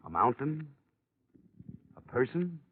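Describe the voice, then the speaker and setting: A man playing a tough, weary investigator in a 1950 radio drama, clipped and deadpan, on an old recording. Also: a little high, takes it slowly, phone-quality sound, slight background noise. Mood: sober, pressing. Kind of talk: 1950s radio detective acting.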